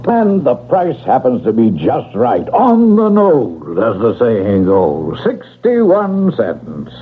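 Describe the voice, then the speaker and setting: An actor playing a genial, folksy shopkeeper with a hesitant, drawn-out patter, in old-time radio drama style. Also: male voice, mid-range voice, huge pitch swings, husky, full character voice. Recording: muffled, noisy 1970s radio recording.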